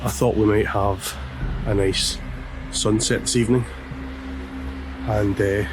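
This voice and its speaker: A hoarse man, chatting casually as he vlogs outdoors about landscape photography, with many pauses and fillers. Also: on the low side, steady tone, poor audio. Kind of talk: casual vlog narration. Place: outdoors on a lakeshore, walking. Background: background music.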